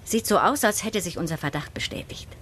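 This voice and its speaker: A composed, shrewd spinster in a radio drama. Her low voice is formal and measured, and she questions people with cool precision. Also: lively intonation, fairly bright tone, slight background noise. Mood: calm, kindly. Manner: formal, measured, coolly probing. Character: composed, proper, shrewd older spinster investigating. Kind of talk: German radio play acting.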